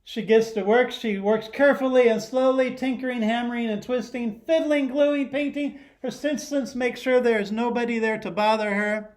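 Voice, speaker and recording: A middle-aged man, a teacher, reading a children's picture book aloud expressively, pausing to put questions to his listeners. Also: extremely high pitch, steady tone. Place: living room at home.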